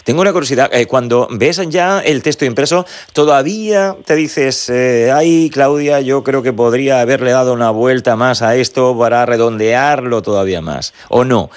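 A man's voice: mid-range voice, normal speed, few pauses. A man hosting a radio interview, asking curious questions with fillers like "eh" and "oye".

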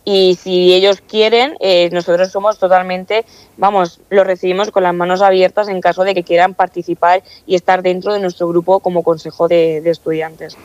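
A woman's voice: on the low side, flat delivery, moderate pace, darkish tone, no echo, highs cut off. A woman in her early twenties with a Spanish accent from Spain, speaking earnestly as a student representative answering interview questions. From Castilla-La Mancha, Spain. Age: early 20s. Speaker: student council president being interviewed.

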